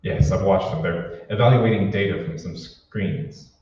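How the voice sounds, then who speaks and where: A man's voice: low voice, measured pace, darkish tone. A man playing an anxious, furtive conspirator in an old-time radio drama, with a hesitant, secretive delivery. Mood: hushed, tense. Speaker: amateur stage actor. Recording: roomy, distant, dull hall recording with hiss.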